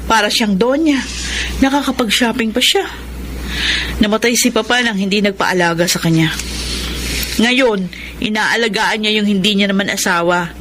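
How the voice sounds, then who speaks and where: A woman acting as a resentful, weary grown daughter in a Tagalog radio drama. Her pitch is average, with faint noise in the recording. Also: moderate pace, pauses often, natural voice. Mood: bitter, sarcastic.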